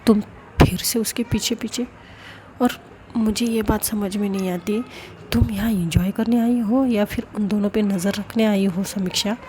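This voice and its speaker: A woman's voice: medium pitch, steady tone, moderate pace, dark tone, a little hiss or hum, close to an everyday voice. A woman voicing a young woman who confronts her friend with sarcastic, accusing questions.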